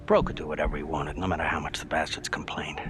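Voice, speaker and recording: A man's voice: extremely low pitch, steady tone, fast talker, over music. A man playing a cocky, foul-mouthed young suspect with streetwise slang and a defiant, easily rattled manner in a dramatic video game. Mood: bitter.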